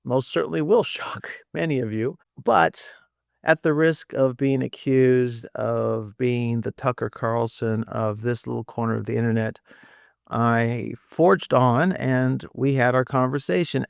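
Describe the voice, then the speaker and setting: Gravelly-voiced male podcast host, chatty. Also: medium pitch, no echo, studio quality. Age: 60s. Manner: rambling, friendly, many fillers and restarts. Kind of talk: casual conversational interview.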